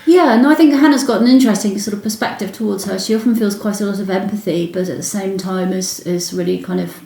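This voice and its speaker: A woman's voice: medium pitch, fast, vocal fry, warm tone. A woman interviewer speaking casually in a book discussion. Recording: roomy, echoey sound.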